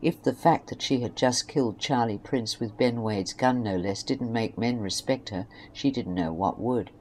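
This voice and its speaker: Low-voiced woman narrator, noisy recording. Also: moderate pace, darkish tone. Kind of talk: scripted story narration.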